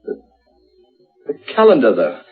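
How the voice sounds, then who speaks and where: An actor playing an anxious, obsessive British gentleman in a radio horror drama, halting and urgent in his speech. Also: on the high side, wildly swooping pitch. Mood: agitated. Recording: dull radio recording, faint music.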